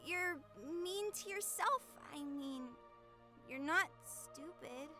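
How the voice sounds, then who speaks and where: Audio drama role: a playful, blunt small child, very high and bright, childlike and giggly. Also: female voice, takes it slowly, soft background music, full character voice. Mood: curious, blunt. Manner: childlike, giggly, simple wording. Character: small playful child in an inner world, blunt, curious, skittish.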